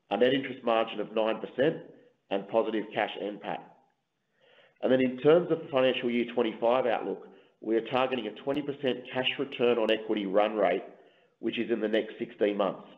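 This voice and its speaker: A man, a company executive, giving a formal, measured, scripted investor presentation over a conference call line. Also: lowish voice, narrow, tinny audio.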